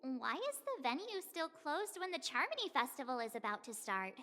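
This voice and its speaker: A woman voicing a bubbly, easily spooked teenage girl adventurer in a video game, expressive and exclamatory. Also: high-pitched, normal speed. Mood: excited, puzzled. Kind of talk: anime-style video game voice acting.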